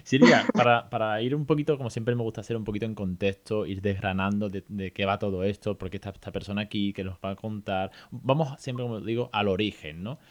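Chatty man host, low voice. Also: steady tone, brisk, few pauses, muffled recording. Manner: chatty, asks questions in friendly runs. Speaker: podcast host. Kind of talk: casual interview questions.

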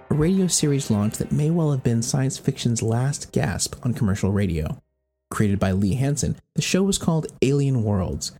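A man's voice: on the low side, dark tone. An American man hosting a podcast, reading scripted narration. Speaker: podcast host.

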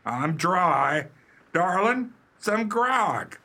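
An actor playing a blustery, swaggering pirate captain with rustic speech in a comic radio play. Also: male voice, high-pitched, sing-song, extremely slow, pushed and bright, heavily acted.

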